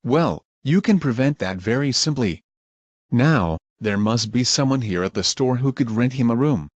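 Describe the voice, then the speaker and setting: An actor playing a calm, reassuring doctor in a radio drama. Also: mid-range voice, moderate pace, no echo, natural voice. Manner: reassuring, reasonable. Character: calm, reassuring doctor from an old folks' home.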